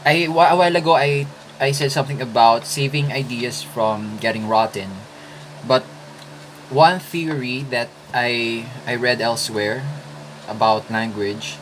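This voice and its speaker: Slow, thoughtful young Filipino man. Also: mid-range voice, a bit bright, slight background noise, low-quality recording. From Philippines. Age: 20s. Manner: slow, thoughtful, with hesitant restarts. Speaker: writing vlogger. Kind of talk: casual instructional vlog.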